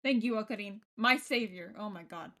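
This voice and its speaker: A woman's voice: medium pitch, normal speed, sharp tone. A woman streaming a visual novel, reading it aloud expressively and breaking off into casual, reactive asides. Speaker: game streamer. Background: game music.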